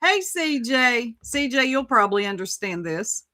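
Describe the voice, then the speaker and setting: A woman runs a recap commentary, teasing and mocking the people in the clip. Her voice is bright and lively, with pitch that swings up and down, and she takes her time over her words. The sound is close and dry. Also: measured pace, dry recording. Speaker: commentary host.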